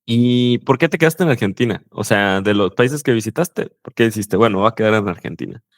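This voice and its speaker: Low-voiced man hosting a chat. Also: brisk, dry recording, clean studio sound. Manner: warm backchannels, relaxed filler-heavy talk. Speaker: host, language coach. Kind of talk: casual interview chat.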